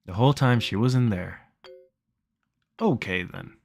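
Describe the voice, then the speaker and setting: A man's voice: lowish voice, unhurried, creaky, darkish tone. A man hosting a playthrough, reading the game's story aloud and commenting casually on it. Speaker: let's play host reading the game's text aloud.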